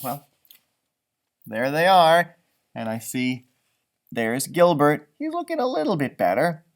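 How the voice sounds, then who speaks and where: A man reading a storybook aloud to young children, animated and encouraging, with chatty asides. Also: a little high, slow pace. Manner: encouraging, chatty, stretches words for young listeners.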